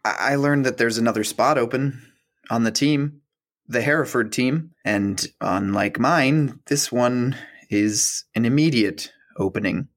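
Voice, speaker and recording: A male actor plays a hesitant, awkward man in a radio-style play. He talks slowly at a middling pitch, halting and stammering over his phrases. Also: close to an everyday voice. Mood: gentle, tentative. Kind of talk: audio play acting.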